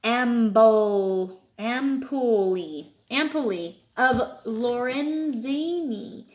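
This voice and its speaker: A woman reading a children's picture book aloud, explaining as she goes and halting over harder words. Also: on the high side. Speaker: storybook reader.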